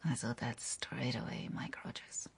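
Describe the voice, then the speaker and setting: A husky, seductive schemer. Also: female voice, rumbling low, level tone, normal speed, in a whisper, full character voice. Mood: sly, calculating. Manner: sultry, teasing, controlled. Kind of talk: radio drama dialogue.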